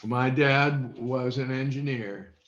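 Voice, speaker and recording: Slow-talking elderly American man, roomy sound. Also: mid-range voice, steady tone. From Indiana, United States. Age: 80s. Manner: halting, deliberate. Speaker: interview guest. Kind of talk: recollecting in an interview. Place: indoors on a video call. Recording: dull roomy video call audio.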